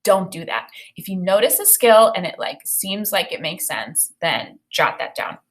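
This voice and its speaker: A woman's voice: lowish voice, normal speed. American woman teacher reading aloud. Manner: expressive reading with acted dialogue. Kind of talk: read-aloud lesson with think-aloud commentary.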